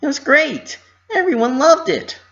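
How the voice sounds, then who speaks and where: A man voicing a bubbly, excitable young female cartoon pony who plans parties, exclaiming cheerfully in a story reading. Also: extremely high pitch, unhurried, creaky, dull audio, voice pushed up, exaggerated voice. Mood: excited, cheerful.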